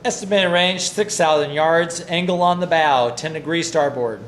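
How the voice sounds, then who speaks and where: An actor playing a polite, efficient submarine executive officer in a wartime naval drama, speaking in an orderly, precise way. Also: male voice, a little high, normal speed, fairly bright tone, natural voice. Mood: businesslike. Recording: faint background noise.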